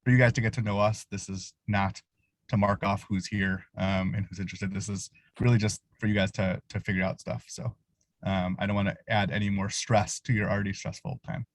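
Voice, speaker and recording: A man introducing himself on a video call from his parked car, relaxed and reassuring, with many fillers. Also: fairly low pitch, brisk, no room sound. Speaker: associate program director.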